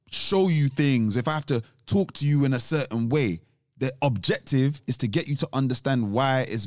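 A man's voice: medium pitch, no room sound, studio quality. A young man co-hosting a podcast, talking casually and explaining his views at length with restarts. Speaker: podcast co-host. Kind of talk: casual chat.

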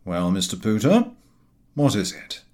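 A man's voice: lowish voice, huge pitch swings, measured pace, a little mellow, pitched below the performer's own voice. Man playing a dignified, kindly employer. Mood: kindly, firm. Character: kindly, dignified older office master.

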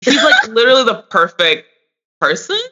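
A man's voice: very high-pitched, very animated, moderate pace, pushed and bright. A man co-hosting a podcast in casual chat, laughing often and filling his talk with likes. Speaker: podcast co-host.

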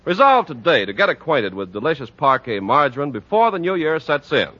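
A man's voice: a little high, very animated, fairly quick, bright, forceful tone. An American man announcing and advertising in scripted 1940s radio style, with an emphatic, polished sales delivery. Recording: dull 1940s radio recording.

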